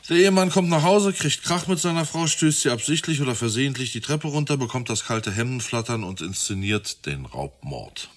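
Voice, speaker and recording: An actor playing a methodical, matter-of-fact police detective with a wry touch in a radio crime drama. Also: male voice, mid-range voice, big pitch swings, brisk, few pauses. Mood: speculating. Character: methodical, dryly reasoning male police detective. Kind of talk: naturalistic radio drama dialogue.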